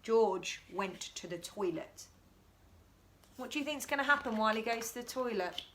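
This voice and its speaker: A woman reads a children's bedtime story in a high, bright voice. She is animated and expressive, turning to her young listeners with questions as she goes. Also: normal speed, slight background noise. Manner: animated, acts out dialogue, asks listeners questions. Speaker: storyteller reading a children's picture book.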